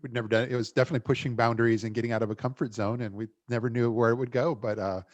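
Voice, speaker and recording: A man's voice: mid-range voice, steady tone, racing, dry recording. A man hosting an interview show, chatting enthusiastically with many fillers. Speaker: show host. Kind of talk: casual interview chat.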